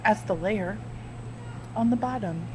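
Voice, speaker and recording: A woman's voice: mid-range voice, unhurried, darkish tone, a little hiss or hum, rough recording. A woman co-hosting a podcast, giggly and playful in casual chat. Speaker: podcast co-host.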